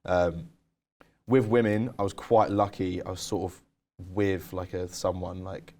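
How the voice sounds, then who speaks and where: A man's voice: low voice, steady tone, measured pace, dark tone. A young man co-hosting a podcast, telling candid stories in casual chat with lots of "like". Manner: candid, storytelling, uses "like" a lot. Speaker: podcast co-host.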